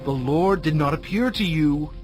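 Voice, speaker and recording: An actor playing Moses, an anxious, humble, doubting prophet, speaking earnestly and hesitantly in dramatized scripture. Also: male voice, on the high side, moderate pace, music in the background, lightly acted. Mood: worried, questioning.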